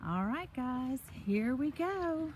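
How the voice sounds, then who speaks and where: A woman reads a picture book for young children in a slightly high voice with lots of melodic swing. She breaks off for simple, chatty asides to her listeners. She is recorded outdoors, with faint ambient noise around her. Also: lively intonation, takes it slowly, a little mellow. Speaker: children's story reader. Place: outdoors on a park trail.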